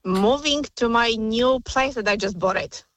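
A woman from Poland calling in to a radio show, cheerful and quick to laugh. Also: on the high side, a little hiss or hum. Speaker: caller. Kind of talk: casual radio call.

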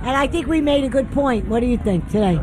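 A woman's voice: on the high side, lively intonation, quick pace. A woman giving an impassioned street interview outdoors in a blunt, emphatic, opinionated way. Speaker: community advocate being interviewed. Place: outdoors on a city avenue at a public event. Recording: music behind, outdoor city street.

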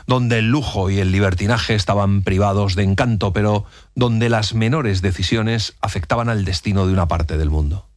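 A man narrating a historical documentary in an even, authoritative storytelling manner. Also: lowish voice, no echo. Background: faint music.

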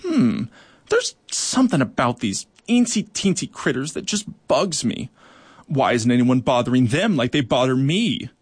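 A man's voice: medium pitch, very animated, normal speed, slightly rough, no echo. A man voicing a grouchy, spiteful male cockroach who gloats and chortles at the ants he bullies. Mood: gloating.

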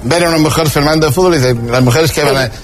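A man's voice: fairly high pitch, racing. A man giving an informal opinion as a sports commentator. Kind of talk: casual spoken opinion. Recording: very noisy radio clip.

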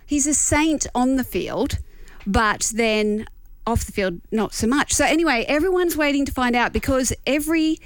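An Australian woman co-hosting a radio show and bantering with quick asides. Her voice sits a little high, and the studio sound is dry and close. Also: no echo. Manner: chatty, laughing, quick asides. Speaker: radio co-host. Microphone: studio microphone.

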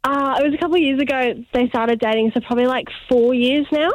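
A woman, an anonymous radio caller making a casual confession in a coy, halting way. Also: sits high, steady tone, dry recording, lo-fi sound.

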